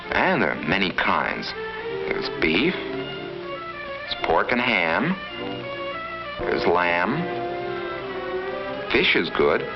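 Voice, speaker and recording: Lively low-voiced man narrating an old film. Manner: instructive, storytelling to children. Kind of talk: 1950s scripted educational film narration. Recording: muffled vintage 1950s film soundtrack. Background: background music.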